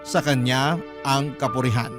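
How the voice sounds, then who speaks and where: A man's voice: mid-range voice, steady tone, moderate pace. A man with a Filipino accent, a radio host reading a listener's letter as dramatic scripted narration. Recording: clear music bed under the voice.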